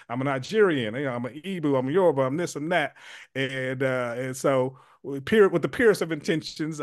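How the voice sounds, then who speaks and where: An earnest man in his forties gives a long, reflective answer as an interview guest. He stops and restarts often. His voice sounds close and dry, with no room echo. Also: medium pitch. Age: 40s. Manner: earnest, many fillers and restarts. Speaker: guest, community leader.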